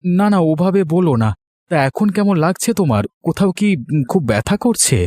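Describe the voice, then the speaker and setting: A man playing a kind, modest young villager in a cartoon, talking in a gentle, hesitant, reassuring way. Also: high-pitched, sing-song, normal speed, no room sound, clean studio sound. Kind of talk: cartoon voice acting.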